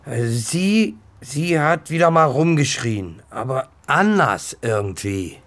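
An actor playing a slow-witted male neighbour in a radio drama, halting and stammering, evasive and defensive. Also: medium pitch, sing-song, fairly bright tone. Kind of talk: German radio drama acting, naturalistic dialogue. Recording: faint music behind the dialogue.

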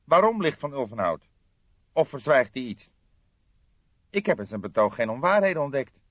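Man doing a high, testy young detective sidekick. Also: big pitch swings, moderate pace, fairly bright tone, dry recording. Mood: irritated. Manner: insistent, irritated, questioning. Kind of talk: audiobook character voice. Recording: dull lo-fi audiobook recording.

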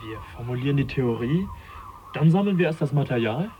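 A man playing a polite, reserved young English naturalist in a German radio play, measured and understated. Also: medium pitch, lively intonation, dull audio, a little hiss or hum, lightly acted. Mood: polite, wary. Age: young adult. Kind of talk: German radio play acting with diary-like narration.

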